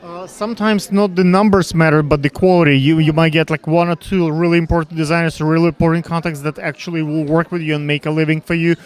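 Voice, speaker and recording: A man with a Russian accent interviewing backstage at a fashion show, asking questions haltingly with many fillers and restarts. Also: on the high side, little pitch movement, clear, resonant tone.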